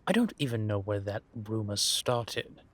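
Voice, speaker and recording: A man playing a world-weary, wry and menacing vampire intelligence operative in an audio roleplay, confiding in a measured, drawn-out way. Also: on the low side, sing-song, fairly bright tone, dry recording, exaggerated voice. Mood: wry, amused. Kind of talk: roleplay audio drama monologue addressed to a listener.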